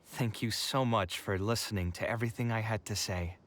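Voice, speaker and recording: A man's voice: on the low side, a little fast, a bit bright, slight background noise, lightly acted. An actor playing an earnest, reflective young man devoted to art in a video game, speaking in an articulate way. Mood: thoughtful, grateful. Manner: articulate, contemplative. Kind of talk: scripted video game dialogue.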